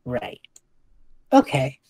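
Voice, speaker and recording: A woman playing an attentive, curious young student in an audio drama, husky-voiced, hesitant and agreeable. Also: very slow, mellow, no room sound. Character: attentive, curious young visitor with a school project. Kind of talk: audio drama dialogue, naturalistic.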